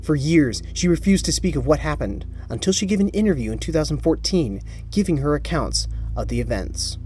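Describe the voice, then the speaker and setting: A man's voice: on the high side, lively intonation, a little mellow. Dramatic male horror narrator over music. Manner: dramatic, measured, pausing for suspense. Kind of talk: scripted horror story narration. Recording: music behind the voice.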